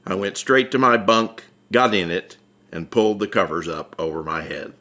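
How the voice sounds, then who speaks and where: Bright-voiced American man narrating a memoir. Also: low-pitched, animated, moderate pace. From Atlanta, United States. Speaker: author reading own audiobook. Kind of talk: scripted first-person narration, conversational memoir.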